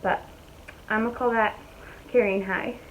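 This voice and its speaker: A young woman talking casually to her viewers from home, her voice slightly raspy and hoarse, chatty and easygoing as she thinks aloud. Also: medium pitch, steady tone, normal speed, a bit bright, dull audio, slight background noise. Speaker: vlogger. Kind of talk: casual chat to camera. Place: at home, indoors.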